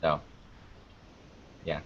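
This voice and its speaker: A man's voice: drawn-out words, slight background noise. A man giving casual interview answers in a joking, anecdotal way, acting out little exchanges as he goes. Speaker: podcast producer and video creator being interviewed. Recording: dull lo-fi audio.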